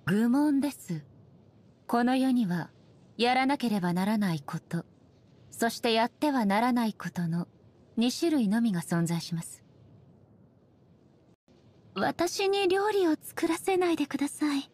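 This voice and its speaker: Game role: ageless warrior goddess ruler, highish breathy voice, formal, solemn, measured delivery. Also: female voice, sing-song, a little mellow. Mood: stern, formal, then prim, firm. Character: solemn, dignified ageless shogun goddess, stern yet softly sincere. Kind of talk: scripted game character voice lines.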